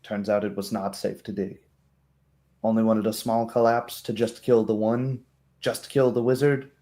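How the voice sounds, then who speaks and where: A man voicing a wary, weary female kobold in a tabletop roleplay, speaking haltingly with pondering hums. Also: lowish voice, flat delivery, warm tone, close to an everyday voice.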